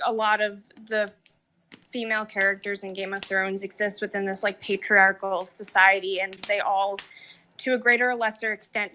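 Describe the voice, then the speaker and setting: A woman with a distinctly bright, forward voice at an average pitch talks through her views. Her manner is reflective and analytical, with hesitant phrasing, in a casual discussion. A faint noise sits on the recording. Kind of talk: casual, thoughtful discussion of a TV series.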